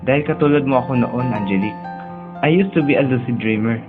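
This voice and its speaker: A man playing a gentle, lonely young dreamer in a radio drama, speaking in a confiding way. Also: medium pitch, a little fast, lightly acted. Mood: wistful, confiding. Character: gentle, lonely young dreamer, male, escaping troubled home. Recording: muffled phone-like sound with music behind.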